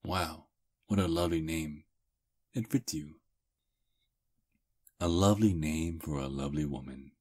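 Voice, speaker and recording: Ancient vampire prince, played by a man: courtly seducer, breathy husky voice, slow intimate ASMR delivery. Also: a bass voice, darkish tone. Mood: courteous, charming. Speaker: ASMR roleplay performer. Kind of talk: intimate ASMR roleplay acting.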